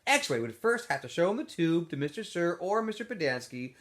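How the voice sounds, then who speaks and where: Animated high-voiced man reading a story aloud. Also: a little fast. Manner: animated, with asides to listeners. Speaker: teacher reading aloud to students. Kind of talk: expressive storybook read-aloud.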